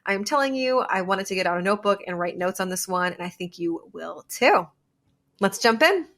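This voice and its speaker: A woman's voice: mid-range voice, lively intonation, normal speed, a bit bright. A young woman hosting a podcast, chatty and enthusiastic, who laughs easily. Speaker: podcast host. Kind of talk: podcast interview.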